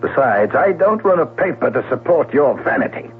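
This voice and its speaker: An actor playing a cold, domineering middle-aged newspaper boss, blunt and curt, in a 1970s radio drama. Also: male voice, medium pitch, very animated, moderate pace, close to an everyday voice. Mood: imperious, scornful. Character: gruff, imperious, cold male newspaper boss. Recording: tinny, noisy vintage radio broadcast with hum.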